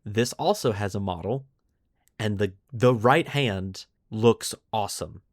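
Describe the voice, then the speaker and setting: A man's voice: lowish voice, a little slow, dry recording, studio quality. A man hosting a podcast, mixing scripted lore narration with casual commentary and putting dramatic emphasis on key words. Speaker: podcast host.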